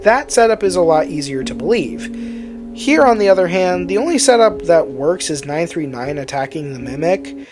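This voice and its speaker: Casting: video essay host, mid-pitched voice, opinionated explainer delivering scripted commentary over a clear music bed.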